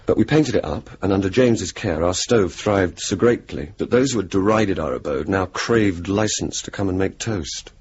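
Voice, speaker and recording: An actor playing a witty young RAF officer in a radio drama, speaking with mock-pompous turns of phrase and service slang. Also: male voice, sits low, normal speed, hardly any pauses, darkish tone, husky. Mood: wry storytelling. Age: young adult. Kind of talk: 1980s radio drama, narration and banter. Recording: dull, hissy old radio recording.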